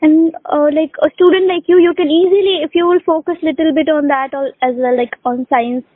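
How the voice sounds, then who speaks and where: A woman's voice: sits high, steady tone, brisk, no room sound. A woman with an Indian accent, a native Urdu speaker, chatting casually as an English conversation tutor. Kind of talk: casual one-to-one lesson chat. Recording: dry, narrow phone-line sound.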